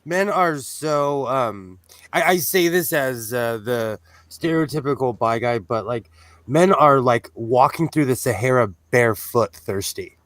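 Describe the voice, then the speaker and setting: A man's voice: medium pitch, a little slow, fairly bright tone, no echo. A man in his forties with a nasal voice, telling joking stories in casual group banter. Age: 40s. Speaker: panelist on a livestream discussion.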